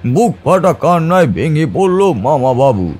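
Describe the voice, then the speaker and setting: A man's voice: on the high side, very animated, a little slow, darkish tone, husky. A man giving a dramatic, suspenseful scripted narration of a horror story in a theatrical manner.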